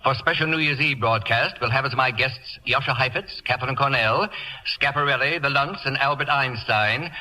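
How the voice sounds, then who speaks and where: Pompous, sharp-voiced, imperious celebrity grouch. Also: male voice, mid-range voice, normal speed. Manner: grandiose, biting, theatrical. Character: pompous, imperious, acid-tongued celebrity houseguest. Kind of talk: 1940s radio comedy acting. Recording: noisy vintage radio recording with clicks.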